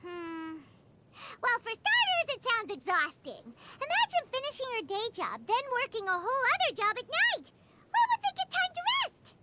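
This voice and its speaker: A woman voicing a small, excitable, naive childlike girl companion in a video game, squeaky and theatrical in her delivery. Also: normal speed, heavy background noise, heavily acted. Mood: curious, musing. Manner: refers to herself in third person, exclaims theatrically. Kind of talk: video game character voice acting. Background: background music.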